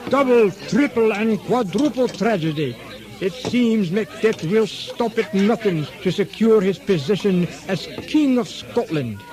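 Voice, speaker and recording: A man's voice: sits high, animated, unhurried, crowd noise. A man playing a melodramatic narrator telling a comic radio drama. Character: melodramatic storyteller of a tragic spoof.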